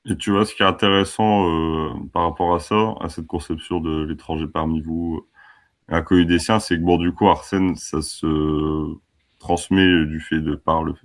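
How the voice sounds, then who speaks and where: A man's voice: extremely low pitch, little pitch movement, normal speed. A man in his twenties from France, a band member chatting casually and laughing easily in an interview. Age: 20s. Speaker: band musician.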